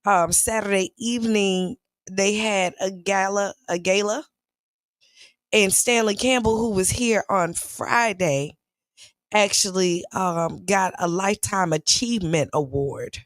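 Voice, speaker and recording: Hoarse, raspy female co-host, chatty storyteller, dry close mic. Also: medium pitch, unhurried, no room sound. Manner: chatty, storytelling, laughs easily. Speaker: radio show co-host. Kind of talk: casual morning show chat.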